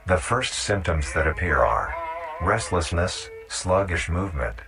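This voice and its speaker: A man with a very deep voice reads scripted documentary-style narration. He is measured and instructional, and music plays clearly behind him. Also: big pitch swings. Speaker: narrator of a horror nature-guide video.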